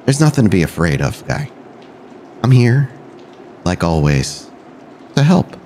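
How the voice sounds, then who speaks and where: Man voicing a low, taunting ghostly father. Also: sing-song, a little slow, darkish tone, a little hiss or hum. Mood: taunting, soothing.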